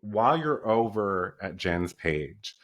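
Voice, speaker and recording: A man's voice: lowish voice, sing-song, slow pace, a bit bright. A man hosting an interview podcast, chatting casually and effusively, with lots of "um" and "like" as he gushes about his guest. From East Coast of North America. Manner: enthusiastic, effusive, frequent "um" and "like". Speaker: podcast host.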